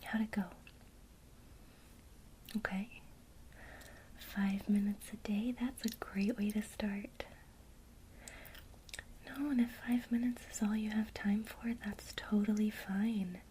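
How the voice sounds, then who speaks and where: A woman whispering a gentle witchcraft lesson in ASMR style, speaking directly to the listener. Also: medium pitch, steady tone, takes it slowly, long pauses, a little hiss or hum. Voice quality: soft unvoiced whisper throughout. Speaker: ASMR creator teaching witchcraft.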